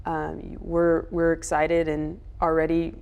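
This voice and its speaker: A woman's voice: low-pitched, completely flat delivery, slow, clean tone, phone-quality sound. A woman soccer coach giving casual answers in an interview.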